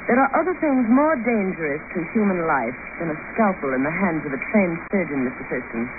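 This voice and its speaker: A woman's voice: on the low side, animated, fairly quick, close to an everyday voice. Lady doing a cool guarded woman surgeon, old radio drama, tinny and hissy. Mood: aloof. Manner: formal, guarded. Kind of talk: 1950 radio drama acting.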